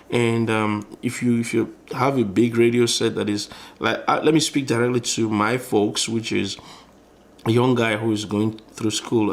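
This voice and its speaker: A man narrating an informal hands-on tutorial in a conversational, explanatory way, often rephrasing as he goes. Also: medium pitch. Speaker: electronics tutorial presenter.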